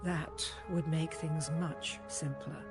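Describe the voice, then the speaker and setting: An actress playing a stern, composed high priestess in a video game, speaking in formal, measured, deliberate phrases. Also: female voice, steady tone. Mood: grave, measured. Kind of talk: scripted video game voice acting. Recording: game music under the voice. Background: music.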